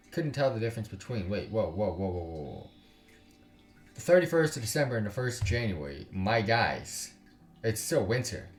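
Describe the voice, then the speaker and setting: A man reading a visual novel aloud as a gaming YouTuber, breaking off for slangy, sweary, incredulous asides. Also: fairly low pitch. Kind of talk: visual novel read-aloud with casual commentary. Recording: roomy echo, distant mic, music behind. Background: music.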